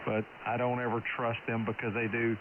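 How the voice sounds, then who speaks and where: A thin, narrow radio signal with clear hiss carries a man's mid-pitched voice. He talks as a ham operator in a folksy, conversational way, keeping the contact casual. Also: completely flat delivery, a little fast. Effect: shortwave radio transmission.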